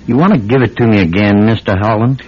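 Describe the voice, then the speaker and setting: An actor playing a folksy, courteous small-town police chief in a vintage radio drama, plain-spoken and obliging. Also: male voice, lowish voice, fast, close to an everyday voice. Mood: dry questioning. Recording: dull lo-fi classic radio recording.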